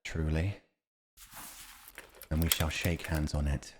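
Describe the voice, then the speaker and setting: Male actor as steadfast fantasy war commander: very low voice, formal, measured, honourable. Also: level tone, background noise. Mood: deferential. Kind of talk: fantasy audio roleplay acting.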